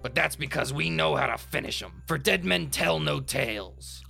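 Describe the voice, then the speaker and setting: A man voicing a rowdy, bloodthirsty pirate captain in a storybook tale, with a stage pirate brogue and blustering, shouted threats. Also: fairly high pitch, expressive, normal speed, vocal fry, soft background music, voice pushed up, full character voice. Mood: cocky.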